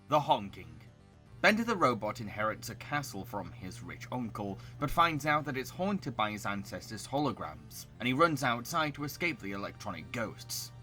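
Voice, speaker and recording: A man's voice: mid-range voice, pushed and bright. A man reading scripted narration as a reviewer presenting cartoons. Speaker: video essayist reviewing cartoons.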